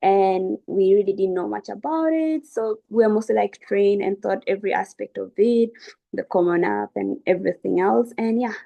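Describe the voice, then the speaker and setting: A woman's voice: mid-range voice, normal speed, creaky, phone-quality sound. A young woman giving an informal, encouraging educational webinar talk as a student speaker. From South Sudan. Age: young adult.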